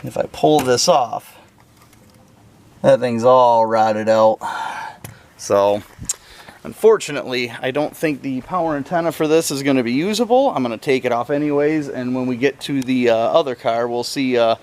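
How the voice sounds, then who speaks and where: A man's voice: medium pitch, moderate pace, a little mellow. A man giving a casual, folksy hands-on walkthrough to camera as a car hobbyist, thinking aloud as he works with some hesitation.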